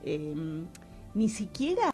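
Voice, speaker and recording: A woman with an Argentine Spanish accent talking casually as an interview guest, reflective and expressive, often searching for her words. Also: fairly low pitch, slow pace, soft background music. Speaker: guest, actress and playwright.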